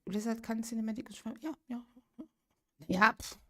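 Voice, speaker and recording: A woman's voice: a little high, steady tone, moderate pace. A woman in her late thirties chatting casually on a live game stream, thinking aloud and answering viewers' chat. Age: 30s.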